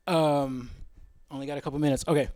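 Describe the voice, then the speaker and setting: A man's voice: fairly high pitch, steady tone, normal speed, darkish tone. A man presenting at a symposium in an informal, opinionated way, often wandering into asides.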